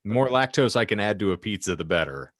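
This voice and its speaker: A man's voice: fairly low pitch, animated, fairly quick, dry recording, clean studio sound. A man co-hosting a casual panel podcast, talking in wordy, florid turns of phrase. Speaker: podcast co-host.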